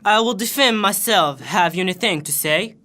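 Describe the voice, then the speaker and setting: A woman's voice: medium pitch, animated, moderate pace, pushed and bright, pitched below the performer's own voice. A woman voicing a ruthless, proud young male traitor who speaks unrepentantly.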